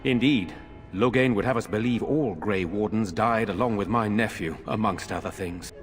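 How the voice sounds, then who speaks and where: A man's voice: fairly low pitch, soft background music, natural voice. A man voicing a grave, earnest noble lord. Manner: measured, formal, earnest. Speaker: village lord. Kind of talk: game voice acting.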